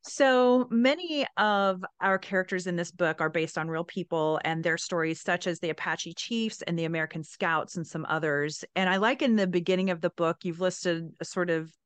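A woman's voice: fairly low pitch, moderate pace, few pauses, smooth, no room sound. A woman podcast host chatting in a folksy, conversational way and speaking directly to her listeners. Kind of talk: conversational podcast introduction to story time.

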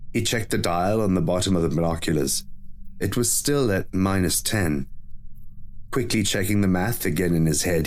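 A man reading a scripted short story as a dramatic audio narrator. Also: low voice.

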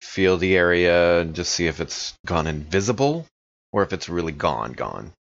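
A man's voice: sits low, animated, moderate pace, highs cut off. A man improvising casually in character as a player in a tabletop horror game.

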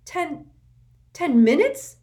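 Woman reader doing a frantic, tired teenage boy, breathless questions, a bit higher than her narration. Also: very animated, takes it slowly, a little mellow, far from the mic. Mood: anxious, frantic.

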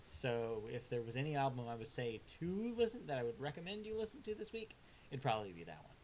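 A man's voice: mid-range voice, sing-song, quick pace, a little mellow, a little hiss or hum, poor audio. A man co-hosting a casual album review chat, laid-back and hesitant with lots of filler sounds, delivering blunt, dry verdicts. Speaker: music review co-host.

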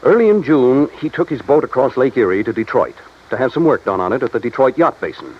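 An actor playing a matter-of-fact insurance man who explains things briskly in an old-time radio drama. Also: medium pitch, sing-song, breathy edge. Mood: businesslike. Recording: dull vintage radio drama recording.

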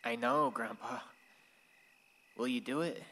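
A solemn teenage ghost boy in a radio drama. His pleading voice is slightly high and moves widely in pitch, washed in hall reverb. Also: sing-song, a little slow, vocal fry, bright, forceful tone, heavily acted. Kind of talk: radio play acting.

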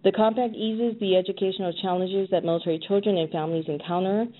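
A woman's voice: medium pitch, fairly even intonation, fast. A middle-aged woman from Hawaii speaking conversationally as an executive director being interviewed on a podcast. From Hawaii, United States. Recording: tinny phone line with noise.

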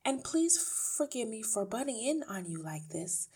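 Woman acting a polite, resolute schoolteacher, lifted pitch. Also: big pitch swings, moderate pace, mellow, breathy edge, full character voice. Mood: polite, earnest. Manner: polite, earnest, firm. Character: slim frail young woman schoolteacher, polite but resolute. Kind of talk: acted audiobook dialogue.

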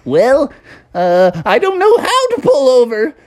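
A woman's voice: medium pitch, wildly swooping pitch, normal speed, dry recording, studio quality, voice pushed up. A woman voicing a courteous, hearty and easily flustered male pig driver in a children's story. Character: jolly, bumbling male pig cab driver.

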